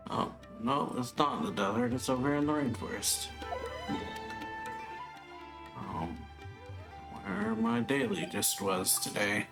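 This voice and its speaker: Casting: male let's play host, unhurried, creaky voice, casual muttered reactions, game music behind. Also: mid-range voice, very animated. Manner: muttering short reactions, thinking aloud.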